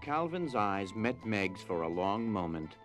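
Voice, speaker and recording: A man narrating a scripted drama as storyteller, with grave, deliberate phrasing. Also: fairly low pitch, big pitch swings, soft background music.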